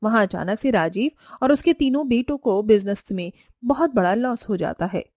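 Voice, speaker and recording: A woman's voice: a little high, expressive, normal speed, darkish tone, dry recording, studio quality. A woman narrating a scripted story as its narrator.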